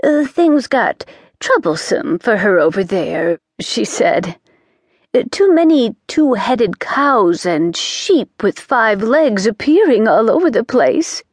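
A woman voicing a fussy, confiding witch who chatters in a high voice and drops into whispers. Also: big pitch swings, slow, no echo, studio quality, heavily acted.

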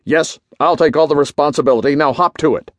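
A man voicing a gruff, short-tempered general and intelligence chief who barks clipped orders in a pulp adventure story. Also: fast, fairly bright tone, dry recording, clean studio sound, close to an everyday voice. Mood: barking, urgent. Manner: barking, booming, clipped orders.

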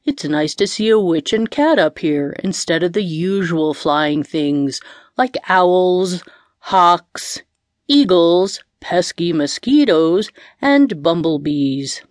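Woman voicing a friendly, lower-pitched boy bird. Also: expressive, takes it slowly, dry recording, clean studio sound. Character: friendly male blue bird, protective and boastful. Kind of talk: children's storybook character voice.

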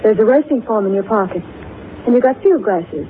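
A woman's voice: medium pitch, expressive, a little fast, lightly acted. Actress as streetwise young woman on tinny radio. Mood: forward, probing. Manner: blunt, slangy, terse. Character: forward, streetwise, wounded young woman of the night. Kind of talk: 1930s radio acting. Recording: tinny, faintly noisy 1930s radio recording.

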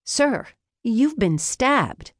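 A woman's voice: a little high, very animated, drawn-out words, no room sound, studio quality, voice pushed up, full character voice. A woman voicing a feisty, sarcastic female rookie private investigator, blunt in manner, in an audiobook story. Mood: urgent, wry.

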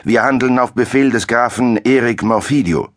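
A man's voice: medium pitch, moderate pace, voice pushed up, close to an everyday voice. A man voicing a soldier captain who barks orders. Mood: commanding. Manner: barking orders.

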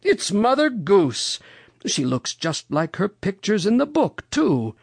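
A reader voicing a genial, excitable old gentleman rabbit from a storybook, polite and given to exclaiming. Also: medium pitch, measured pace, creaky, breathy edge, no echo, pitched above the performer's own voice, heavily acted. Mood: delighted. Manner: polite, exclamatory, wondering aloud.

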